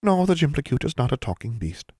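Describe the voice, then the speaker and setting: A proud old hill elf with a crafty streak, as a man reads him aloud in an audiobook. He speaks in formal, old-fashioned phrases, lifted somewhat above the reader's own low register. The delivery is dry and close, with no room sound. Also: very animated, fast talker, a little mellow, no room sound. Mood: formal, wary. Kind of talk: audiobook character voice.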